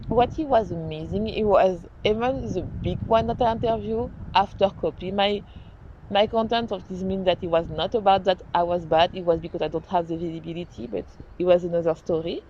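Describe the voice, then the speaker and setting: A woman's voice: medium pitch, moderate pace. French-accented woman podcasting, lo-fi sound. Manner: earnest, animated, restarts and self-corrections. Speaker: podcast host. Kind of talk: casual solo podcast talk. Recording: dull lo-fi sound, faint noise.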